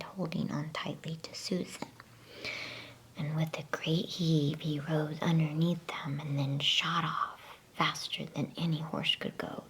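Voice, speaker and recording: A woman speaking in a soft, unvoiced whisper, gently and without hurry, as an ASMR bedtime story reader. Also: low voice, gravelly, slight background noise, low-quality recording.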